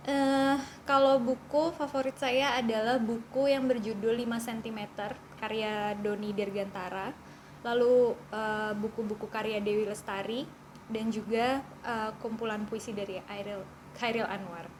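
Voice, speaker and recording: A woman's voice: fairly high pitch, fairly even intonation, a little slow, background noise. A woman from Yogyakarta answering interview questions eagerly, with some fillers.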